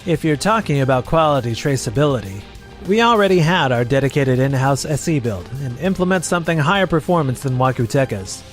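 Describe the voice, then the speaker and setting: A man's voice: mid-range voice, animated, fairly quick, soft background music, natural voice. A man voicing a capable, blunt elder brother in a manga drama dub, assured and admonishing. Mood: matter-of-fact. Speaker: voice actor and narrator in manga dub.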